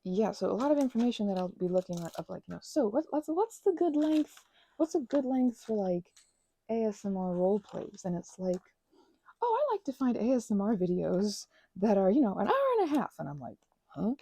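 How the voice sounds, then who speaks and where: Husky-voiced woman rambling while crafting. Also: medium pitch, very animated, a little fast, mellow. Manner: rambling, chatty, many fillers and restarts. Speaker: ASMR and audio creator.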